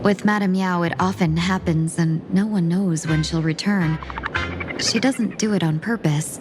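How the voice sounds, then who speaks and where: A woman's voice: on the low side, a little slow, lightly acted. A woman playing a polite, apologetic aide to a general in a video game, earnest and courteous. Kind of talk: scripted video game voice acting. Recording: loud music behind the voice. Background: game music.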